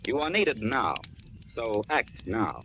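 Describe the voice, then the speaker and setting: A man's voice: mid-range voice, very animated, takes it slowly. A man announcing an urgent wartime radio appeal in a formal, emphatic, exhorting 1940s style. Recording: faintly noisy 1940s radio recording.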